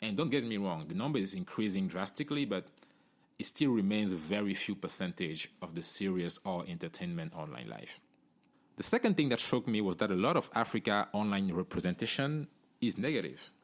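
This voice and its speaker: A man's voice: sits low, expressive, fairly quick. A middle-aged man from Cameroon, hosting a solo podcast in an earnest, conversational way, often tagging "you know" and "right?". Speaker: podcast host.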